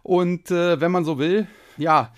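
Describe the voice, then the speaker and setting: A man from Germany hosting a solo finance podcast, explaining at length with many hesitations, his voice slightly hoarse from a cold. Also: high voice, fairly even intonation, slow. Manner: explanatory, frequent filler hesitations. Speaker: podcast host.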